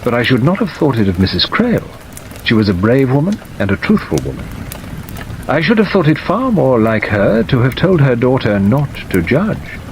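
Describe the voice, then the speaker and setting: A man's voice: mid-range voice, a little hiss or hum, voice pushed up, poor audio. A man voicing a stern, forthright older woman in an audiobook, dry, precise and unsentimental. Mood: indignant, emphatic. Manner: brisk, precise, firm, sharp. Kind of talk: audiobook character dialogue. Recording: steady rain sound over the reading.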